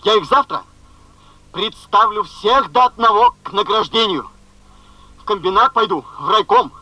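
Radio drama: earnest worker on a phone line, very bright, high-pitched, halting with filler words. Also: male voice, no echo, exaggerated voice. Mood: earnest, proud. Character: earnest, flustered railway worker reporting in. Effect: telephone voice. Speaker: actor. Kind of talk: 1970s Soviet radio play acting. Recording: thin, muffled telephone line.

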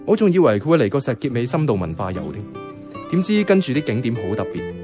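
Actor playing bemused reluctant young man, Hong Kong Cantonese accent, lively intonation, wry, reflective radio-drama narrator, faint music behind. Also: medium pitch, quick pace, lightly acted. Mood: curious. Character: bemused, reluctant young male companion narrating a night walk.

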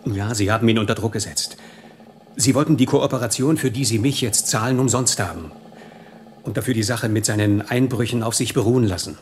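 An actor playing a corruptible official in a radio drama, uneasy and defensive. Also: male voice, on the low side, brisk, slight background noise, natural voice. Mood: resigned, uneasy.

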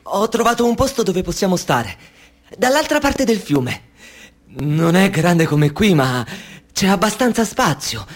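An actor in a radio play playing a guarded young man who is tense with strangers and gentle with the woman. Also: high voice, exaggerated voice.

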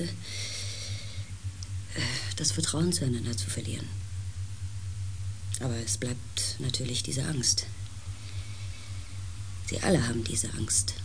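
A man's voice: mid-range voice, vocal fry, low-quality recording. An actor playing a thoughtful, uneasy male member of a space expedition in a radio play, speaking in a hesitant, intimate way. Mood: gentle, worried. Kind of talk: German science fiction radio play acting and narration.

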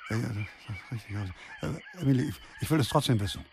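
A man's voice: medium pitch, very fast, noisy background, close to an everyday voice. An actor playing an agitated, gullible man who stammers and blusters insistently on the phone in a radio play. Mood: curious, flustered. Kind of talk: radio drama acting.

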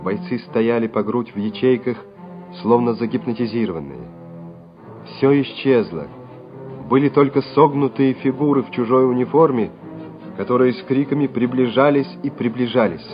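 Male narrator, radio play, dramatic literary delivery, medium pitch, music bed, slightly muffled recording. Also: moderate pace.

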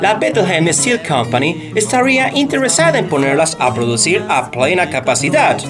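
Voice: mid-range voice, sing-song, moderate pace, over music, close to an everyday voice. An actor playing a pompous, demanding American boss in a satirical radio play, with English interjections. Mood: ingratiating.

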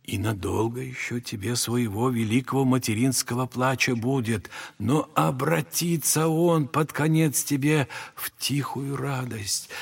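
Slow, husky old monastery elder. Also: male voice, medium pitch. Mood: solemn consoling. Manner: slow, solemn, consoling, preacherly. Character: old monastery elder, compassionate, solemn spiritual counsellor. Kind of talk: audio drama acting.

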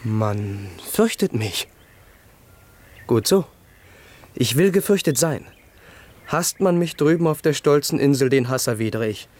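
A man's voice: mid-range voice, animated, unhurried, long pauses, darkish tone, close to an everyday voice. A man playing a haughty young crown prince. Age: young adult. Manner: haughty, self-assured. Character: young, proud, defiant male crown prince. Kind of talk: radio play acting.

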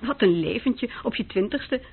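A woman's voice: on the high side, lively intonation, normal speed, gravelly, husky, full character voice. An actress playing a curious young woman in a radio play, speaking expressively and questioningly. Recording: thin, phone-like old radio recording.

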